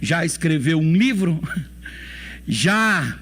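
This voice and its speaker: Animated Brazilian pastor preaching. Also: male voice, a little high, drawn-out words. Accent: Brazilian Portuguese. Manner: preaching with rhetorical questions and repetition. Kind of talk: sermon. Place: church.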